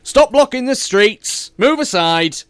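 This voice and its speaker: A man voicing a gruff, dismissive male city guard who barks short orders. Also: on the high side, moderate pace, dry recording, pitched above the performer's own voice, lightly acted. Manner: curt, dismissive, bossy.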